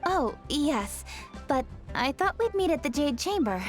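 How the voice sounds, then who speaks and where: A woman's voice: sits high, sing-song, a little slow. A woman voicing a poised, diligent young noblewoman official in an anime game, formal, composed and earnest. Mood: polite. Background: music.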